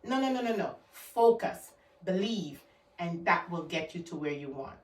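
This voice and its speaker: A woman's voice: fairly low pitch, animated, moderate pace, roomy sound, distant mic, poor audio. A woman with a Guyanese accent giving an emphatic motivational talk, repeating her key words for stress. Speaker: motivational vlogger.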